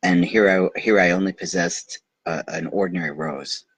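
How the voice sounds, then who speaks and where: A man's voice: deep voice, steady tone, normal speed, husky, dry recording. A man voicing a lonely, wondering little prince in a bedtime storybook, plaintive, polite and naive. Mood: dejected.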